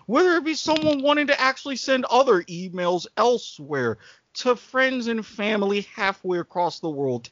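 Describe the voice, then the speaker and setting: A man's voice: high-pitched, a bit bright, dry recording, lo-fi sound. A man co-hosting a podcast in casual banter, speaking in an emphatic, wordy, salesman-like way. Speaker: podcast co-host. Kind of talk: casual banter and ad-libbed sponsor read.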